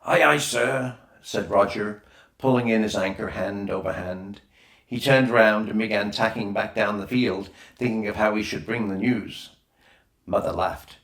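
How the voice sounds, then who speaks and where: A man's voice: fairly low pitch, steady tone, moderate pace, a bit bright. A man reading a children's adventure story aloud as a scripted audiobook narration. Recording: roomy, echoing voice recording.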